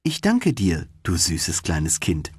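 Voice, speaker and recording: A small, tender female swallow from a storybook, as a male reader puts her on. The voice is husky and closely recorded, earnest and full of gentle gratitude. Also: sits low, wildly swooping pitch, slow pace, no echo, exaggerated voice. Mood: weak, grateful. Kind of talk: storybook narration.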